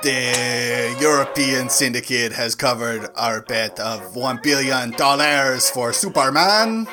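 A scheming comic-book syndicate boss put on by a man talks slowly and deliberately. The pitch is lively and almost singsong, with music in the background. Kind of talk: comedic dramatic comic-book reading.

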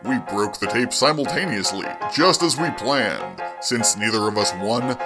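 A man playing a confident, stalwart superhero, declaiming deliberately in a comic book reading. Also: lowish voice, very animated. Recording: music behind the voice.

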